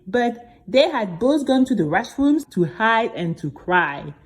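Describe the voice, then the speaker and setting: A woman playing an earnest, resilient young singing contestant, narrating her own story in an expressive, deliberate, dramatic way. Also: fairly high pitch. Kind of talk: scripted dramatic first-person storytelling.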